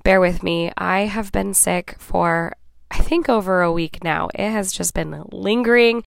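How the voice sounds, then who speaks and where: Raspy woman with a cold doing her podcast, dry close sound, kinda low voice, super chatty. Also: a little slow, no room sound. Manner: chatty, often tags lines with "right?" and "okay?". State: hoarse from a cold. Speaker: podcast host. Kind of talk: casual solo podcast talk.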